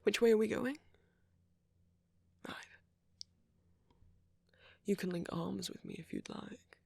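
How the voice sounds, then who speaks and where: A man playing a friendly, flirty vampire in an intimate ASMR roleplay, courteous and gently teasing, with a husky, murmuring voice close to the microphone. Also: high-pitched, slow, dry recording, heavily acted. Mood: flirty, reassuring. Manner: gently teasing, polite old-fashioned phrasing, soft chuckles. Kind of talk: ASMR roleplay, intimate one-sided dialogue to the listener.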